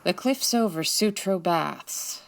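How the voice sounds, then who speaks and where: A woman playing a sassy, sharp female private detective in a comedic 1940s-style radio drama, with wry, teasing delivery. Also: fairly low pitch, lively intonation, takes it slowly, vocal fry, full character voice. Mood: wry, romantic. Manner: wry, teasing, dry quips.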